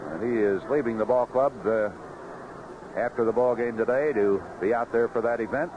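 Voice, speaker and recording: A man's voice: mid-range voice, moderate pace. A man doing live radio play-by-play of a baseball game, conversational and folksy between the calls. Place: outdoor ballpark broadcast booth. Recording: dull, noisy vintage 1970s radio broadcast.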